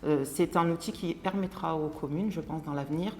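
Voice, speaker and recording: Low-pitched woman giving interview testimony. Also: moderate pace.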